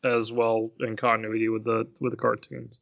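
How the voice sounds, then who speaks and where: A man co-hosting a podcast in casual chat, relaxed and thoughtful, pausing often with fillers. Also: mid-range voice, gravelly, dry recording. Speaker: podcast co-host. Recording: dry, dull lo-fi podcast audio.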